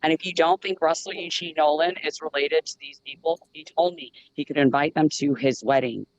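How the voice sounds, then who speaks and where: A man's voice: a little high, brisk, dull audio. A man speaking as a guest panelist, telling a long personal account earnestly, with many fillers and restarts.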